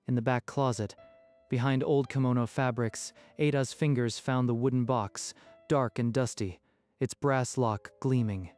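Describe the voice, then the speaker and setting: Medium-pitched male story narrator. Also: fairly even intonation, unhurried, a little mellow. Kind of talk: scripted dramatic story narration. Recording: music behind the voice.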